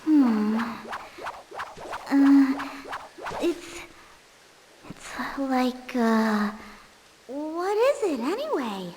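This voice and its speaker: A woman's voice: high-pitched, very animated, drawn-out words, pauses often, dark tone, a little hiss or hum, heavily acted. A woman playing a brash, bossy girl who is two thousand years old, in a video game cutscene, sassy and blunt. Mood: curious, assertive. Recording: game music behind.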